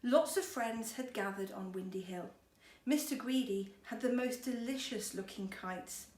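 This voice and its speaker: A woman's voice: fairly high pitch, measured pace, darkish tone. A woman schoolteacher reading a storybook to children expressively, with chatty, encouraging asides. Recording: roomy echo, faint background noise.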